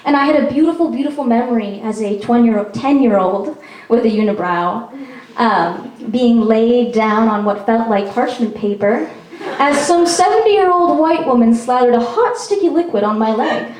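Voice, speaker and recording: A woman's voice: a little high, animated, moderate pace, hardly any pauses, darkish tone, distant mic. A young woman from Peru performing a stand-up comedy set in a chatty, self-mocking way, with frequent ums. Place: small performance venue. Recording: echoey venue recorded from afar.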